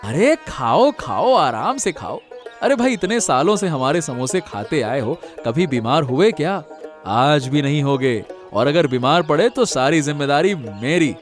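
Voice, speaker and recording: A man's voice: a little high, big pitch swings, measured pace, faint music behind. A man voicing an earnest, emphatic village samosa seller, a wronged and devoted son, in a Hindi moral-story cartoon. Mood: persuasive.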